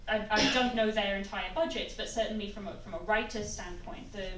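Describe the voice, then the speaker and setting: A woman's voice: medium pitch, fairly quick. A woman moderating a panel, casual and self-correcting as she poses questions. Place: live convention panel room. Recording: echoey room, distant mic, faint noise.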